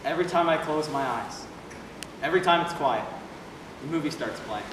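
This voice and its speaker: A male actor plays a tired, haunted young man in a stage drama. His voice is quite high. It comes from far off in a hugely reverberant, noisy hall. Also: moderate pace, close to an everyday voice. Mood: haunted, withdrawn. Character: tired, haunted, stubborn young friend awake at night. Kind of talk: stage play dialogue. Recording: very echoey hall, distant mic, noisy.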